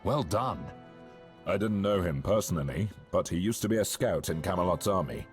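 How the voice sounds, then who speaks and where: A sombre village man in a dark fantasy game. He has a very low, deep voice and a measured, serious way of telling things. Faint game music plays underneath. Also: animated. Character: grave adult male villager recounting a bounty. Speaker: game character giving a bounty report.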